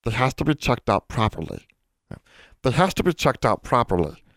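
A man's voice: mid-range voice, big pitch swings, moderate pace, a bit bright, no room sound, clean studio sound, pitched above the performer's own voice. A man voicing a timid, servile library elf in an audiobook, speaking eagerly in broken servant grammar. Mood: hushed, conspiratorial. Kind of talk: audiobook character voice.

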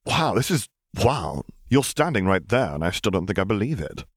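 A man's voice: on the low side, sing-song, moderate pace, dry recording, clean studio sound. A man voicing a gangly, jocular younger academic in an audiobook, chattering in an effusive, slightly flustered way. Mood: amazed.

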